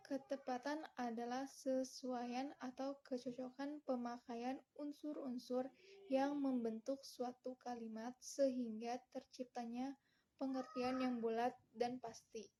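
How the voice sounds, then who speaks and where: A young adult woman, a student, giving a scripted presentation read aloud in a formal, recited manner with halting breaks between phrases. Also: sits high, flat delivery, mellow.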